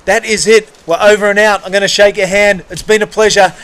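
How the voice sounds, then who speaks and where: A man's voice: high voice, steady tone, brisk, a bit bright. A man hosting live surf coverage in a casual, matey, enthusiastic way. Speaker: surf broadcast commentator and host. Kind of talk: casual live sports commentary.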